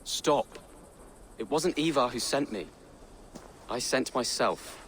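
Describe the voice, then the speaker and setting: An actor playing a nervous young Saxon prince in a video game, speaking earnestly and hesitantly with a husky, breathy voice. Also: male voice, mid-range voice, animated, takes it slowly, a bit bright, in a large hall, a little hiss or hum. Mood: determined. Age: young adult. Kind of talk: dramatic video game acting.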